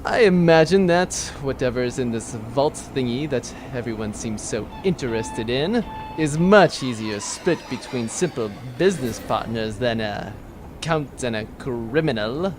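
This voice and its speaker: A wry rogue officer, played by a man in a space opera audio drama. His mid-pitched voice is offhand and conversational, with clear noise behind it. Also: expressive, unhurried, lightly acted. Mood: wry, bargaining. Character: casual, wry male rogue officer proposing a deal.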